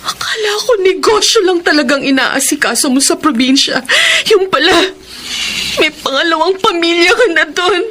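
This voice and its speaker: A woman's voice: very high voice, expressive, normal speed, pushed and bright, slight background noise, exaggerated voice. A woman with a Filipino accent playing an emotional, heartbroken betrayed wife and dutiful daughter in a radio drama. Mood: anguished pleading. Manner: emotional, tearful, melodramatic. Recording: drama music under the voice.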